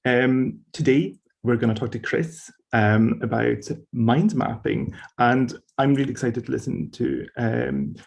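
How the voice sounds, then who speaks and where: A man's voice: medium pitch, animated, unhurried, vocal fry, hardly any pauses. A man hosting an online event, introducing the guest in a friendly, hesitant way with fillers. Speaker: host.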